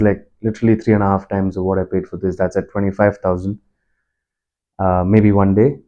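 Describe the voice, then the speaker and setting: A man giving a casual product review in an explanatory, measured, conversational way. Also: lowish voice, warm tone, lo-fi sound. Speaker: product reviewer and motorbike commuter.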